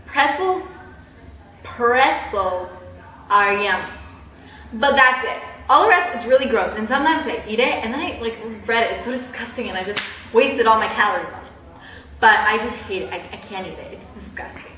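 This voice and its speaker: A young woman chatting casually in a personal vlog, with a bubbly, animated, giggly way of exaggerating things. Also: a little high, moderate pace. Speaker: vlogger. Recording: roomy echo, distant mic, background hiss.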